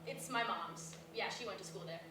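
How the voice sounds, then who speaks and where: A woman's voice: mid-range voice, normal speed, natural voice. A woman acting a flighty, blunt young dropout in a staged comedy, rambling and hesitant in a naturalistic way. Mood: chatty, rambling. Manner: rambling, hesitant, filler-laden. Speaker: stage actor in a staged reading. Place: live staged reading in a reverberant room. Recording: echoey room from far off, noisy.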